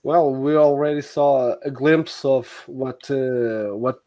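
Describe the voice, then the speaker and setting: A man's voice: mid-range voice, steady tone, slow pace, mellow. A man from Portugal with a Portuguese accent, chatting casually as the host of a stream. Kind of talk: casual chat.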